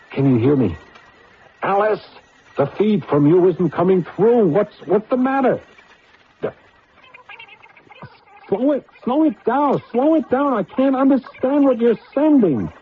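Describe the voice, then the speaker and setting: A man's voice: high voice, big pitch swings, measured pace, narrow, tinny audio, slight background noise. A man playing an anxious, hesitant computer scientist who stammers through his own story, in old-time radio drama style. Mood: panicked. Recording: band-limited old radio recording with music.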